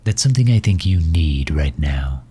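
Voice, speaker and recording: A man playing a courtly megalomaniac villain in an ASMR audio drama, speaking formally and with measured, gentle solicitude. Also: expressive, heavily acted. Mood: calm, persuasive. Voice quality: husky, low. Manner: formal, measured, silky endearments.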